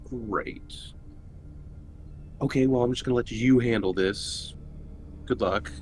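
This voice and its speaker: A man's voice: medium pitch, slow, faint music behind. A man playing a tabletop roleplaying game, chatting informally with a hesitant, dry delivery. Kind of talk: casual in-character game chat. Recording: clearly noisy background.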